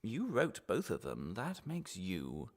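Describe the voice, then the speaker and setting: An actor playing a falsely friendly, menacing interrogator in a radio drama, chatty and polite but insinuating and condescending. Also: male voice, lowish voice, wildly swooping pitch, takes it slowly, heavily acted. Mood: insinuating, accusing.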